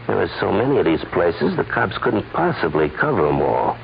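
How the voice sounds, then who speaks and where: A man's voice: low voice, very animated, moderate pace, vocal fry, heavily acted. An actor playing a sly, smug holdup man with coy hesitations and chuckles in a 1940s radio crime drama. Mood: scheming. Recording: muffled, hissy 1940s radio recording.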